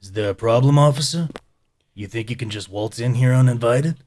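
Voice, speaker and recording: An actor playing a cocky dockside union gangster in an audio drama. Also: male voice, mid-range voice, brisk, darkish tone, a little hiss or hum, close to an everyday voice. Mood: wary, defiant.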